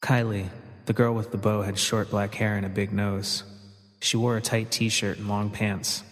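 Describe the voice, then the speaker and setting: Actor as even-toned, low male survivor narrator. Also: moderate pace, in a large hall, lightly acted. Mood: descriptive. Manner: understated, matter-of-fact. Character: Jay, plainspoken young male survivor narrating his story. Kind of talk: first-person scripted narration, audio drama.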